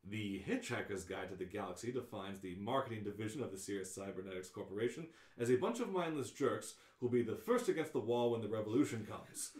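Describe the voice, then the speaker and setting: A man's voice: on the low side, darkish tone, reverberant. A man reading scripted narration as host of an informal live radio-play read-through.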